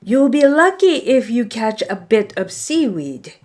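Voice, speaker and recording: Woman voicing a scornful older brother, lively pitch. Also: unhurried. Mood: annoyed scorn. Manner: scoffing, dismissive. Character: scornful, exasperated older brothers of a young trickster.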